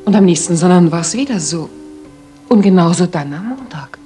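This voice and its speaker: A woman's voice: on the low side, animated, moderate pace, dark tone, soft background music, close to an everyday voice. An actress playing a worried, polite young lady in a German radio drama, recounting carefully and earnestly.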